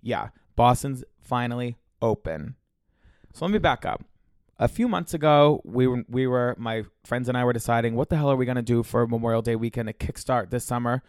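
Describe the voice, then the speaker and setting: A man's voice: medium pitch, fairly quick, darkish tone, no echo, clean studio sound. A young man hosting his own podcast alone, talking casually in a chatty, profane, gossipy way, his voice a little congested with a scratchy throat. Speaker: podcast host. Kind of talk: casual solo podcast monologue.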